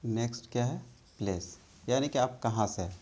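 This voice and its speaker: A man from India teaching spoken English in a slow, patient way, often checking that the learners follow. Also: mid-range voice, warm tone.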